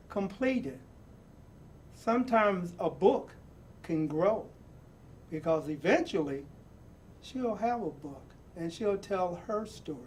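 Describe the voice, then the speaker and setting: A man reading a picture book aloud in an emphatic, deliberate way, repeating phrases for weight and adding his own reflective asides. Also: high-pitched, slight background noise. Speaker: storybook reader and presenter.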